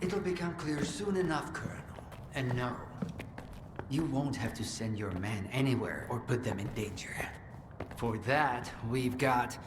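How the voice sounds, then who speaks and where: A man playing a composed, calculating game scientist with a mid-pitched voice and slow delivery. He sounds condescending, over faint background noise. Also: expressive, lightly acted. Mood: measured, persuasive. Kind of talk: video game cutscene acting.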